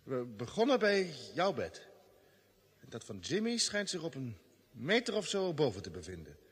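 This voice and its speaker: An actor playing a level-headed space expedition leader in a vintage Dutch radio drama. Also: male voice, fairly high pitch, wildly swooping pitch, normal speed, husky, exaggerated voice. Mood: purposeful. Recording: old radio recording, long reverb.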